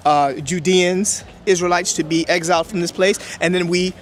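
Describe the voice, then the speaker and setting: A man's voice: sits high, fairly even intonation, normal speed, a little hiss or hum. A man whose first language is Hebrew, explaining history emphatically in an interview outdoors. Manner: emphatic, lecturing, with many "right?" checks. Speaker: interview guest.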